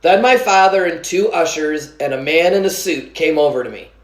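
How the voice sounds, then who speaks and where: A woman reading a children's book aloud with an expressive storytelling manner. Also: deep voice, normal speed, roomy sound, poor audio. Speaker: story reader.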